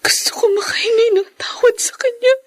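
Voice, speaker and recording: Radio drama grieving wife, high-pitched, soft, full of feeling and tenderness. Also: female voice, steady tone, fairly quick. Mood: tearful. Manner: soft, emotional. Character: grieving, guilt-ridden tender young wife. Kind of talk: Tagalog radio drama acting.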